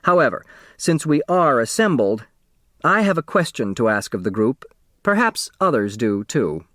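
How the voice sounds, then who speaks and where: A man's voice: medium pitch, very animated, a bit bright, no echo, studio quality. A man voicing a composed, authoritative judge in an audiobook, speaking firmly and formally. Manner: formal, measured wording, firm.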